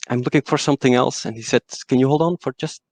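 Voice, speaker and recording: A man with a West Flemish accent, his first language Dutch, talks about his work in a relaxed, self-deprecating way. His voice has a gravelly, creaky edge. The sound is dry and close, as on a video call. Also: medium pitch, fast talker, dry recording. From Belgium. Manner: relaxed, self-deprecating storytelling with many restarts. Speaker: guest, agile coach. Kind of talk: casual interview talk.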